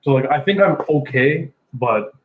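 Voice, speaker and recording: A man's voice: medium pitch, lively intonation, measured pace, phone-quality sound. A man co-hosting a casual livestream, laid-back, mostly giving short replies. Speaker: co-host.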